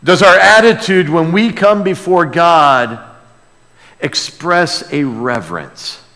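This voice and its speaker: Male preacher, slightly high voice, deliberate pace, emphatic sermon delivery with repetition for weight. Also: animated, bright, forceful tone. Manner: emphatic, with repeated key words and rhetorical questions. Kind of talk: expository sermon. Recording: hall with long reverb.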